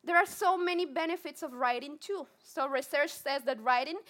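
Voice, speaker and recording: Colombian woman psychologist, high and bright voice, earnest talk, restarts words. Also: normal speed. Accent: Colombian Spanish. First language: Spanish. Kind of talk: public talk to a live audience.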